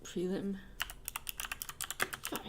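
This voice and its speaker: A woman's voice: very slow, noisy background. A woman chatting casually and playfully while livestreaming her artwork. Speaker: digital artist livestreaming.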